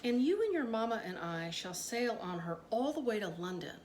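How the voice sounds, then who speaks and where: Woman reading as a brisk, confident father. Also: a little high, sing-song, a little mellow. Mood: proud. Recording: noticeable background noise.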